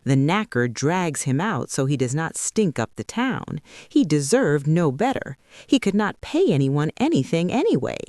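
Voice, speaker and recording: A woman's voice: low voice, very animated, moderate pace, few pauses, a little mellow, no echo, studio quality. A woman storyteller voicing callous townspeople in a folk tale, dismissive and matter-of-fact. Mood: scornful. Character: callous, scornful townspeople speaking as one.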